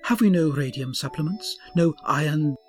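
A man's voice: fairly high pitch, expressive, normal speed, darkish tone, soft background music, close to an everyday voice. A man playing a grand, pompous starship captain who speaks in courtly rhymes. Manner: pompous, rhyming, courtly speech.

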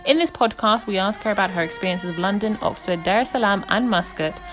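Woman podcast host, scripted measured intro, faint music bed. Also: mid-range voice, animated. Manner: measured, reads a prepared intro.

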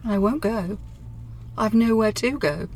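A woman's voice: fairly high pitch, a little slow, darkish tone, slight background noise. An actress playing a withdrawn, despairing middle-aged career woman, terse and guarded, in a radio drama. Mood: numb, despairing.

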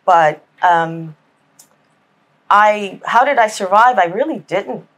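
A woman from Miami, a writer appearing as a podcast guest, speaking candidly and reflectively with soft fillers. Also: medium pitch, slow. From Miami, United States. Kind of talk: candid conversational interview.

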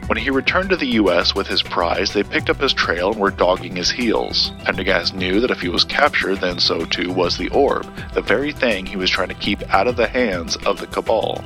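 An actor playing an earnest, guarded collector of occult lore, telling his tale in a measured audio drama style. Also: deep voice, music in the background, lightly acted. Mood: measured storytelling. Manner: measured, storytelling.

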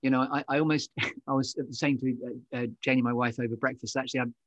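A man's voice: mid-range voice, flat delivery, quick pace, dry recording, lo-fi sound. A middle-aged man, hosting a podcast interview in an effusive, affirming conversational manner. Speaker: podcast host.

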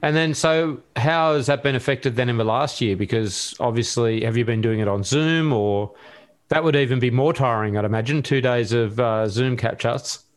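A man hosting a casual podcast interview. He asks his questions in a loose, easygoing way. He keeps the guest talking with quick, friendly acknowledgments. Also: mid-range voice. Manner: relaxed, gives brief encouraging acknowledgments. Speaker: podcast host.

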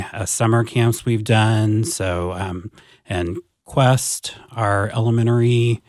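A man in his forties talks about his work at a deliberate, unhurried pace, pausing on ums and restarting his phrases. His voice sits on the low side. The sound is dry and close. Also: a little mellow, no room sound. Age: 40s. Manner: slow, measured, with many ums and restarts. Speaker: district advanced academics coordinator. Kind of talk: podcast interview guest.

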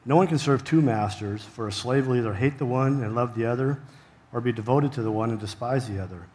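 A man's voice: medium pitch, fairly quick, a little mellow. A man reading scripture aloud as a volunteer reader.

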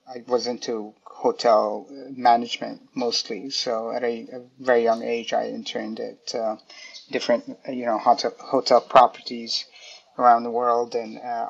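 A man answering interview questions at an ordinary middle pitch. He talks in a hesitant way, full of fillers and restarts. The sound is a little dull and lo-fi, like audio from a call. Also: vocal fry, husky. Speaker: guest, design director.

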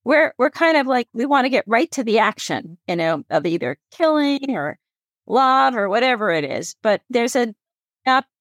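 A woman's voice: fairly high pitch, sing-song, moderate pace, dry recording, clean studio sound. A woman from the United States hosting a podcast in a casual, curious and reflective conversational way. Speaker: podcast host.

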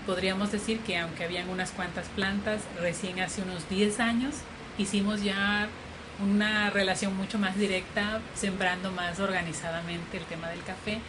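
A woman from Ecuador telling her story as an interview guest, in a slow, relaxed way. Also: mid-range voice. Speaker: interview guest, coffee farmer. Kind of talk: conversational interview. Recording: noisy background ambience.

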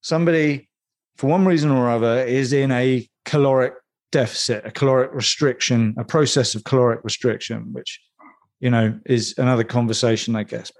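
A man co-hosting a discussion, setting up the topic in a measured, structured way. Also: medium pitch, fairly even intonation. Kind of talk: conversational expert discussion.

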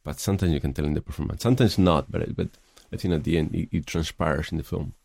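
A man's voice: extremely low pitch, fast talker, gravelly, darkish tone, no echo. A middle-aged man from Spain with a Spanish accent, answering interview questions thoughtfully, with many hesitations and fillers. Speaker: film director.

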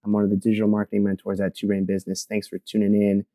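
A man's voice: lowish voice, monotone, rapid-fire, dark tone, no echo, studio quality. A man hosting a marketing podcast, welcoming listeners and interviewing a guest in an upbeat manner. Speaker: podcast host.

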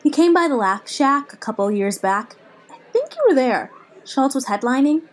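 An actress playing a brash, blunt comedian friend in a naturalistic audio drama, sarcastic, punchy and profane. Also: female voice, high voice, lively intonation, normal speed. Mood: teasing, wry.

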